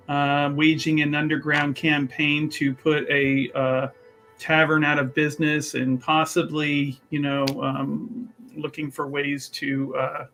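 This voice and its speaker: A man with a bright, fairly high voice chatting casually as a tabletop player. He rambles, restarting phrases and leaning on fillers, while faint music plays under him. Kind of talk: casual chat introducing his character.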